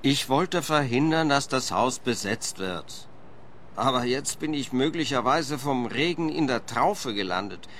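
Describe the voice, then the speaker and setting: A man's voice: mid-range voice, lively intonation, a little slow, a bit bright, slight background noise. A thin-skinned rich landlord in a radio play. His voice swoops about as he frets and justifies himself in a plaintive, evasive way, with faint ambience behind. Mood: anxious, plaintive. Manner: fussy, plaintive, self-justifying. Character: fretful, evasive wealthy landlord, thin-skinned former boss.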